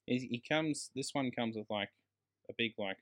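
An Australian man with a medium-pitched voice co-hosts a casual podcast about films. He talks like a bloke among mates, stressing his points and swearing freely. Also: moderate pace, fairly bright tone. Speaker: podcast co-host. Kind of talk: casual film podcast chat.

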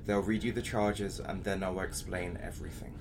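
A calm, courteous official in an audio drama. His lowish voice is polite and reassuring, heard over clear ambient noise. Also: normal speed.

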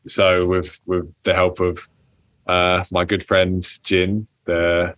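Young man, very low voice, dry close sound, halting with restarts. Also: completely flat delivery, a bit bright, no echo. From England. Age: young adult. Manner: hesitant, many restarts and ums, laughs often. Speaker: footballer guest. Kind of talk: casual interview answers.

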